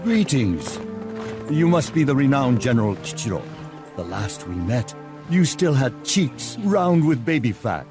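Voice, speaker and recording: An older samurai lord of a strategy game, devoted and courtly. His measured, theatrical speech rises and falls widely at medium pitch, with music prominent underneath. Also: sing-song. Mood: courtly, fond. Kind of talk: dramatic game cutscene acting.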